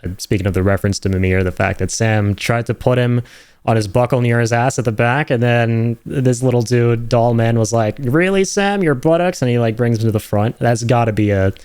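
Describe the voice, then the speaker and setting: A man's voice: medium pitch, fairly quick, a little mellow, clean tone, no room sound. A man giving enthusiastic, speculative commentary on game trailers in a casual streaming style. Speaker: reaction video host, streamer.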